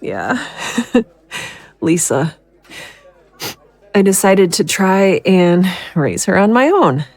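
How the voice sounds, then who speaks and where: A woman playing a guilt-ridden, worn-down young mother in an audio drama, speaking haltingly and emotionally. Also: mid-range voice, very animated, darkish tone, no echo, heavily acted. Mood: weary, confessional. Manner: halting, emotional confession with sighs.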